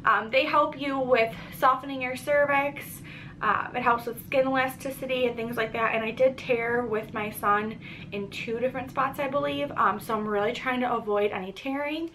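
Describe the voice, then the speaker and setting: A woman's voice: fairly high pitch, steady tone, moderate pace, a bit bright, a little hiss or hum, low-quality recording. A young pregnant woman giving a casual vlog update, congested and a little short of breath, chatty with frequent ums. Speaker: pregnancy vlogger.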